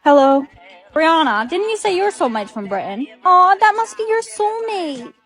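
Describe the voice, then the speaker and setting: A woman's voice: very high-pitched, very animated, moderate pace, a bit bright, faint music behind, full character voice. A woman playing a chirpy schoolteacher in a comedic skit, announcing things in a lilting way. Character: chirpy schoolteacher introducing exchange students. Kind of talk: short comedic skit acting.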